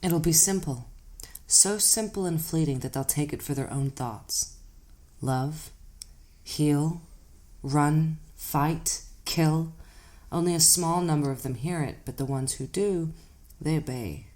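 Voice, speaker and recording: Mysterious otherworldly woman drifter, deep female voice, grave, measured and ominous, for audio drama. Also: mellow. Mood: quietly ominous. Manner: measured, cryptic, grave storytelling. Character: enigmatic woman hitchhiker, calm, knowing, ominous otherworldly collector.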